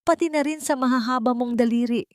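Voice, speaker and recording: A woman's voice: on the high side, fast, dry recording, clean studio sound, voice pushed up, natural voice. A woman voicing a cruel, domineering older mother-in-law who scolds and accuses in an audio drama story. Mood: angry, accusing. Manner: scolding, commanding.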